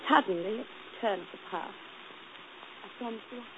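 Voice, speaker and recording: A woman's voice: medium pitch, fast, lightly acted. A woman playing a proud, passionate young governess in a 1940s radio drama, turning clipped and bitter, then breathless. The sound is muffled and hissy, like an old broadcast. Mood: wistful.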